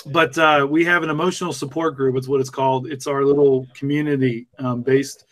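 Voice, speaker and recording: A man's voice: medium pitch, steady tone, fairly quick, a bit bright. A man acting as host in a casual podcast chat, putting questions to guests.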